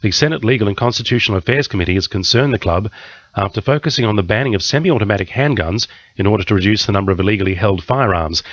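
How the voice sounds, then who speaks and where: A man's voice: fairly low pitch, a bit bright, no echo, lo-fi sound. A man with an Australian accent reading a scripted radio news bulletin. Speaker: news reader.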